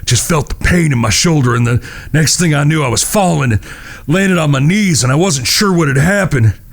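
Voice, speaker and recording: Man acting a husky, grief-worn cowboy. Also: a little high, expressive. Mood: numb grief. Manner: halting, folksy, sorrowful. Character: grief-stricken wounded Old West cowboy, devoted, broken. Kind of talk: audio drama acting.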